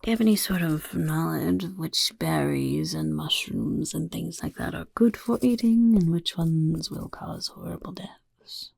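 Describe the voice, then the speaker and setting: A woman voicing a scheming little goblin overlord who interviews recruits in a soft-spoken ASMR roleplay, whispering smugly. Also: deep voice, a little slow, exaggerated voice. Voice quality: gravelly, breathy whisper. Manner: whispery, smug, mischievous questioning. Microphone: close ASMR mic.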